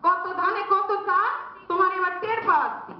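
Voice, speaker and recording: A nagging village wife played by a woman in a comic play, with a very high voice ringing in an echoey, dull recording. She is sulky and stubborn and snaps back with indignation. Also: a little fast. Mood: threatening. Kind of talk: comic stage-style drama acting. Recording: very echoey, dull hall recording, faint noise.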